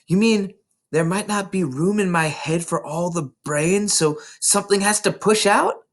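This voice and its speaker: A man voicing an excited, eager, precocious small schoolgirl in a storybook reading, gushing with breathless run-on eagerness. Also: high-pitched, measured pace, voice pushed up. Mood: eager, wondering. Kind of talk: children's storybook read aloud.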